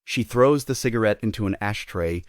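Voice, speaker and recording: A man's voice: fairly low pitch, moderate pace, dry recording, clean studio sound. A man reading out scripted stage directions as the narrator of a play table read.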